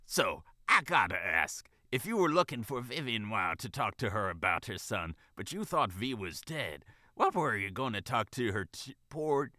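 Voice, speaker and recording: A man's voice: fairly low pitch, big pitch swings, moderate pace, pushed and bright, dry recording, studio quality, full character voice. A man voicing a bold, kindly, nosy female fox who manages a diner, in a folksy, casual storybook voice. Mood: nosy, drawling. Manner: folksy, casual, sassy, says ain't and sugar.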